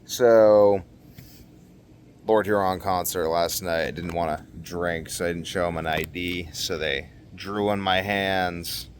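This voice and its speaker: A young man talking casually to camera in a rambling, slangy vlog monologue, animated and given to acting out what people said. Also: sits low, slow, slight background noise. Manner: animated, exclamatory, slangy, acts out quotes. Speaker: vlogger.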